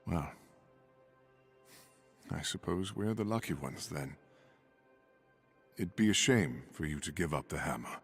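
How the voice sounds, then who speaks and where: A man's voice: low-pitched, expressive, unhurried, long pauses, breathy edge, soft background music, full character voice. A man voicing a hearty, gallant warrior god prince in a video game, with grand, courtly phrasing. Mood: gentle, admiring. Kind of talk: video game voice acting, dramatic dialogue.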